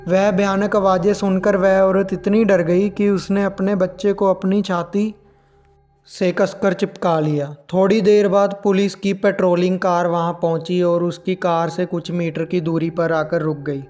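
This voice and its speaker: A man's voice: high-pitched, flat delivery, unhurried, darkish tone, faint music behind. A man narrating scripted horror stories as the host of a storytelling channel.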